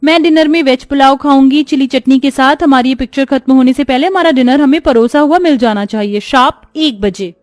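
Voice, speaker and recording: A woman's voice: high-pitched, a little fast, hardly any pauses, no echo, close to an everyday voice. A woman playing a spoiled, arrogant young stepsister in a moral story, taunting and bossy. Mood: bossy, cruel. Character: spoiled, arrogant, mocking young stepsisters bullying their sister. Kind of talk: animated Hindi moral story acting.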